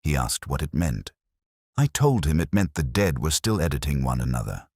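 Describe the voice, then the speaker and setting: A man narrating a scripted first-person detective drama in a measured, solemn, literary manner. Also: a bass voice, very animated, darkish tone, breathy edge, dry recording, clean studio sound.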